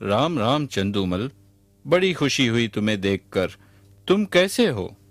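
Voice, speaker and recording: A man's voice: medium pitch, big pitch swings, a little slow, no room sound, soft background music. A man playing an earnest, good-natured butter merchant in a Hindi cartoon. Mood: friendly. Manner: earnest, respectful.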